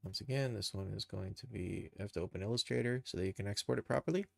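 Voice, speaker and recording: Low, creaky-voiced man talking through a tutorial. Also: quick pace, no echo. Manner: informal thinking aloud while working. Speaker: streamer, game developer and animator. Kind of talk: casual live screen-share tutorial.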